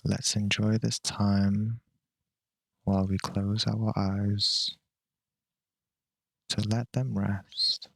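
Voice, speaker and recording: A man leading a guided meditation in a calm, measured, unhurried way with long pauses between phrases. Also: on the low side, gravelly, dry recording.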